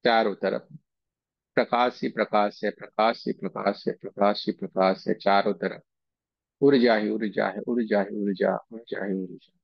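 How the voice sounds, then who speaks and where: A man leading a guided meditation, speaking in slow, soothing, repeated phrases with pauses between them. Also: on the low side, fairly even intonation, vocal fry, no room sound, dull audio. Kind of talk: guided meditation with chanted invocation and slow repetition.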